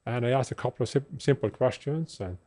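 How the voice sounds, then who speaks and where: A man with a Norwegian accent talks through engineering stories in a plain, matter-of-fact way. His voice sits at an ordinary middle pitch, recorded on a podcast mic. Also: fairly quick, mellow. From Norway. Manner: plainspoken, technical storytelling. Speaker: guest engineer. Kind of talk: casual chat.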